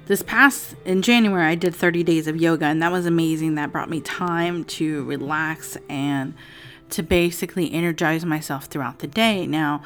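A raspy-voiced woman in her forties talking casually in a rambling personal vlog at home, with many fillers. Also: fairly low pitch. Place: home with TV music in another room.

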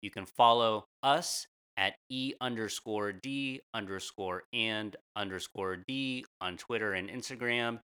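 A man's voice: on the low side, measured pace, few pauses, bright, forceful tone, clear, resonant tone. An American man reading a scripted podcast introduction as a co-host.

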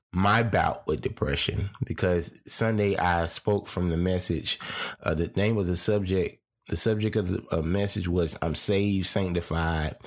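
A man hosting his podcast, congested and a little stuffy from his sinuses, talking in a loose, rambling way with lots of fillers and restarts. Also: low voice. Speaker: podcast host; preacher. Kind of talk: casual podcast monologue.

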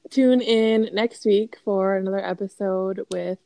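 A woman's voice: medium pitch, fairly even intonation, a little slow, vocal fry, dark tone, no room sound. A woman in the middle of her range, chatting easily as a podcast co-host and peppering her talk with "like." The sound is dry and close, with no room behind her. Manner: chatty, lots of "like" fillers. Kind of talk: casual chat.

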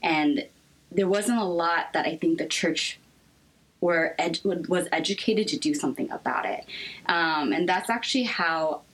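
Young woman, American accent, low pitch, thoughtful halting delivery, conversational interview guest. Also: a bit bright, poor audio. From United States, raised in Seattle. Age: young adult.

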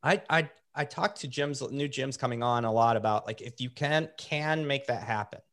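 Guy hosting a chat, upbeat, keeps restarting sentences, middle pitch. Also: normal speed, a bit bright. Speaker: host interviewing gym coaches. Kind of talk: casual interview chat.

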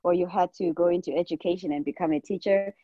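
A woman's voice: lowish voice, fairly even intonation, quick pace, dark tone, husky, dry recording. A woman in her forties with a Zimbabwean accent, chatting casually as a guest in an interview, on a slightly muffled recording. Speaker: podcast guest, nurse practitioner.

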